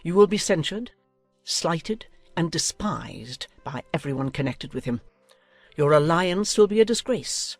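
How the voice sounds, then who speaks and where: A woman's voice: low voice, animated, a little slow, dry recording, faint music behind, full character voice. A woman playing a haughty, domineering older noblewoman in a dramatized audiobook, speaking with imperious, declamatory emphasis. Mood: scornful.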